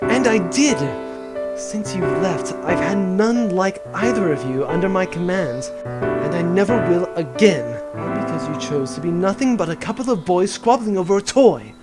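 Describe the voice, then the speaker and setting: A man voicing a proud, stern bandit queen in an audio drama, with grand, formal, deliberate speech. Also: sits high, expressive, loud music behind the voice.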